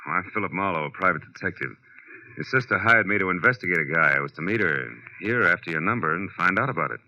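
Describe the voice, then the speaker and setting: A man's voice: low-pitched, lively intonation, fast, pushed and bright, exaggerated voice. A man playing a tough, wisecracking private detective, hard-boiled and sardonic, in a vintage radio noir drama. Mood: calm, steady. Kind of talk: 1940s-50s radio noir narration and acting. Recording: muffled old radio broadcast with music.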